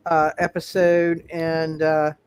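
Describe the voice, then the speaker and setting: High-voiced man hosting, halting delivery. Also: monotone, clear, resonant tone, no echo. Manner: halting, repetitive, circling back. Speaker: game master and host. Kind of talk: casual sign-off talk on live roleplay show.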